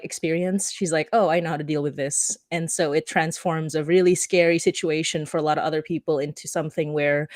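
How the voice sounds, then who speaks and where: A woman with a slightly low voice hosts a podcast in a casual, conversational way. The sound is very dry and close, with no room to it. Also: dry recording. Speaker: host, podcaster. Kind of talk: casual live-stream hosting.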